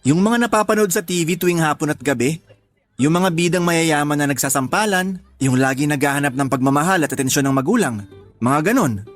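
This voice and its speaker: A man's voice: fairly high pitch, animated, fairly quick, lightly acted. A man playing a young, humble, working-class Filipino man in a radio drama, smitten, teasing and plain-spoken. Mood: earnest, teasing.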